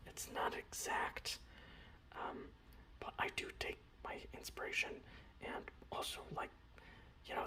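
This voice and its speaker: Male ASMR creator, breathy whisper with playful halting repeats, recorded roomy and slightly distant. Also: sharp tone. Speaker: ASMR creator showing painted miniatures and reading lore.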